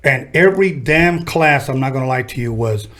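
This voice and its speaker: A man's voice: mid-range voice, expressive, normal speed, fairly bright tone. A man in his fifties hosting a casual interview podcast, from the Los Angeles harbor area, telling laid-back, joking stories. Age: 50s. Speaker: podcast host.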